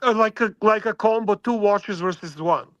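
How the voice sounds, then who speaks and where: A man with a Serbian accent co-hosting a casual online panel, talking emphatically and restarting his sentences. His voice is high and bright with a sharp edge. The audio is dry but dull and lo-fi, like a call feed. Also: moderate pace, dry recording. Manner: emphatic, with frequent restarts and swearing. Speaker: panel co-host.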